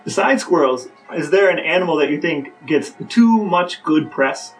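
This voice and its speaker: Slightly distant, higher-voiced man, mock-serious. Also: lively intonation, normal speed, soft background music. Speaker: podcast co-host. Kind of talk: casual chat, tongue-in-cheek interviewing.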